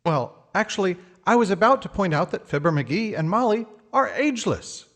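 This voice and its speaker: A man playing an earnest, formal announcer in an old-time radio comedy, husky-voiced and flattering. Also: high-pitched, moderate pace. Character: Harlow Wilcox, polished, earnest 1940s radio announcer.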